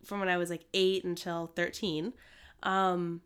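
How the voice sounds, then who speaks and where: A woman's voice: on the low side, level tone, a little slow, clean tone. Congested woman co-hosting a podcast. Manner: rambling, many fillers like "like" and "um". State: congested and hoarse from a cold. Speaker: podcast co-host. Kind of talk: casual chat.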